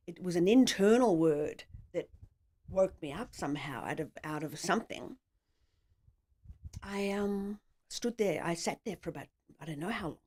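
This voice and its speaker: A woman's voice: on the low side, dark tone. A middle-aged woman with an Australian accent, telling her story casually and in a rambling way as a podcast guest.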